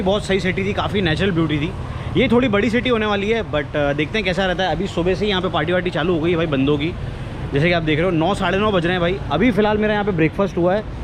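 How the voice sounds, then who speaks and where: Indian guy travel vlogging, kinda high voice, chatty, mixes in english, noisy outdoor street audio. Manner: colloquial, mixes English words into Hindi. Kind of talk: casual chat to camera.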